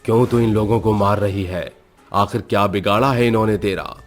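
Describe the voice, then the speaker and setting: A man playing a calm, commanding tantric holy man in a horror story, with an authoritative, measured manner. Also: fairly low pitch, soft background music, close to an everyday voice. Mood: stern. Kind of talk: dramatized horror story acting.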